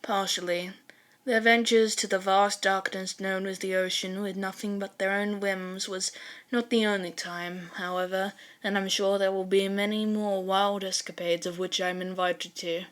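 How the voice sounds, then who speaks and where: A woman's voice: medium pitch, flat delivery, normal speed, a bit bright, close to an everyday voice. A woman voicing a theatrically gloomy teenage boy in a story, grave and formal in manner. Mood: solemn. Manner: grave, formal, ornate phrasing.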